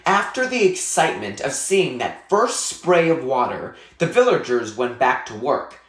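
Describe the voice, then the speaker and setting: A male narrator reads a children's novel with measured, clearly phrased delivery. His mid-pitched voice moves up and down in a lively way, and the room adds a noticeable echo. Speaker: read-aloud narrator. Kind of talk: expressive children's novel read aloud.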